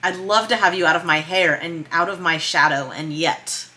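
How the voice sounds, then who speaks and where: A woman reading a film script as a vain but caring himbo younger brother, pointed yet gentle. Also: fairly low pitch, normal speed, rough recording. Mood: cutting. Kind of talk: screenplay read-through. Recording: noticeable background noise.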